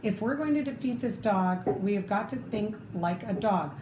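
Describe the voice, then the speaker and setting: A woman's voice: medium pitch, expressive, moderate pace, lightly acted. Woman as a grave mouse professor, echoey room. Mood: grave, earnest. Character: grave female mouse professor, earnest scientific mind. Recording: roomy echo, clear background noise.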